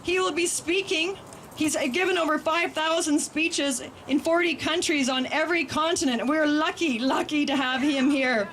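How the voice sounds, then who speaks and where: A high-voiced woman speaks to a public gathering as an activist. She is emphatic and repeats words for stress. The recording is a noisy outdoor event with vehicle sound behind her. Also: fairly even intonation, moderate pace. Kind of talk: public rally speech.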